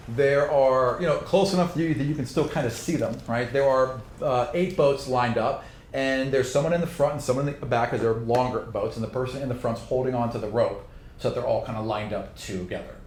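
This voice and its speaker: A man's voice: mid-range voice, fairly even intonation, fairly quick, a little mellow. A man improvising narration as game master of a tabletop fantasy game, explaining things in a conversational way. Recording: roomy echo, faint background noise.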